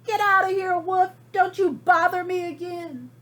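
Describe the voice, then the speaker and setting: Lady doing a bratty little boy pig from a kids book, shouty, really high, curt and defiant. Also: fairly even intonation, unhurried, full character voice. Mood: angry, defiant. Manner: loud, curt yelling. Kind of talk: storybook read aloud to children.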